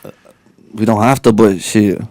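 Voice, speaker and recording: A man's voice: fairly low pitch, moderate pace, darkish tone, no room sound. A young man from Latvia, a guest rapper chatting in a radio studio, rambling and laid-back with lots of fillers. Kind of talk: casual interview chat.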